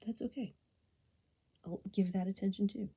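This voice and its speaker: Husky, breathy woman guiding a meditation. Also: medium pitch, lo-fi sound. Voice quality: soft, breathy, often falling into a whisper. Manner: gentle, unhurried, soothing instruction. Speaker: meditation guide. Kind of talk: guided body scan meditation.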